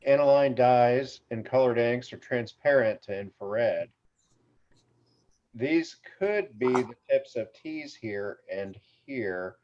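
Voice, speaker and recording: A man's voice: mid-range voice, slow, lightly acted. A man playing a crime-lab document analyst in a screenplay table read over a lo-fi video call. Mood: focused. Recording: dull, lo-fi video-call audio.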